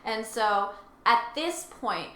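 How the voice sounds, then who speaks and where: A woman's voice: on the high side, animated, takes it slowly, pushed and bright. A woman co-hosting a casual, conversational discussion of a story. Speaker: co-host. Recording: echoey room with faint ambient noise.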